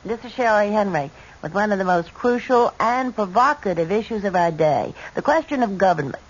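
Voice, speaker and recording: A woman's voice: medium pitch, expressive, moderate pace. A woman presenter reading a scripted promo for a radio programme. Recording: muffled vintage radio, faint hiss.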